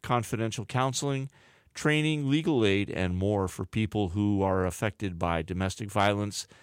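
A man working as a college radio DJ, reading a public service notice and introducing songs in a casual, unhurried way with frequent "uh" fillers. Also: on the low side, smooth, no echo, studio quality. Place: radio studio.

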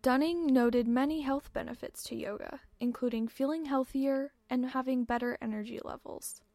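A teenage girl reads a school news piece in a steady, scripted manner. Her voice is high and gravelly, recorded dry and close. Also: normal speed, dry recording. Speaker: student news reporter.

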